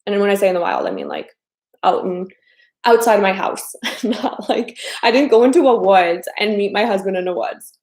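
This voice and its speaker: A woman's voice: mid-range voice, sing-song, normal speed, gravelly, a little mellow, roomy sound. A chatty woman in her thirties from the American Midwest, hosting a casual live stream as a dating coach and often repeating her phrases. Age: 30s.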